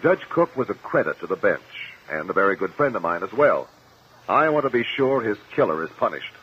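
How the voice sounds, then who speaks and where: An actor playing a firm, formal lawyer, probing and commanding, in a 1950s radio courtroom drama. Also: male voice, fairly low pitch, sing-song, brisk, breathy edge, exaggerated voice. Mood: earnest. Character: firm, probing lawyer cross-examining a witness. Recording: muffled 1950 radio broadcast.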